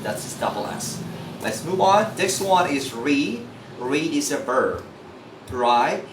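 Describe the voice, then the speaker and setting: A man teaching English pronunciation in a lively, joking classroom style, often asking listeners questions. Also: on the high side, takes it slowly. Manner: animated, jokey, asks listeners questions. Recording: echoey room, mic at a distance, background noise.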